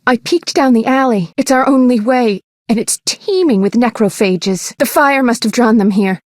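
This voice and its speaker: A woman voicing a caring but feisty young female medic in a video game, speaking earnestly and directly, sometimes with a sharp tongue. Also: fairly high pitch, normal speed, no echo, clean studio sound, natural voice. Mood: urgent, alarmed. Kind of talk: video game character dialogue.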